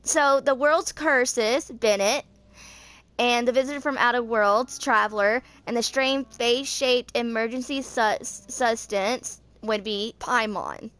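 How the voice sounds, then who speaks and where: Higher-voiced young woman reading aloud. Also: a bit bright. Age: 20s. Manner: halting reading, stumbling over long words. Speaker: video game fan vlogger. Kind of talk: reading game lore aloud with casual asides.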